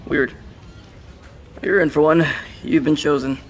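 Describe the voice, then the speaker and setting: A man's voice: a little high, measured pace, slight background noise, natural voice. A man playing a very tall skeletal monster in a horror audio drama, casual and secretive in manner. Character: very tall skeletal monster, offhand hospital ghoul.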